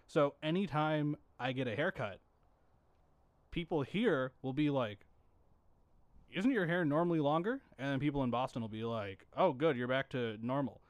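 A young man co-hosting a podcast, telling animated stories and laughing often. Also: mid-range voice, moderate pace, dry recording. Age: young adult. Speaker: podcast co-host. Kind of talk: casual chat.

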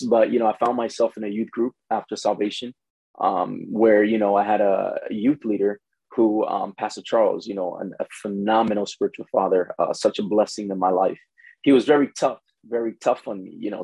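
A man's voice: lowish voice, creaky, a little mellow, slightly rough, no room sound, low-quality recording. A man from Ghana who grew up in the United States, speaking as a pastor and guest in a conversational interview, often saying "you know". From born in Ghana, grew up in the United States from preteens.

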